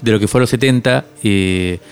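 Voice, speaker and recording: Smooth, low-voiced man reflecting in an interview. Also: moderate pace, soft background music. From Cuba. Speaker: interviewed author.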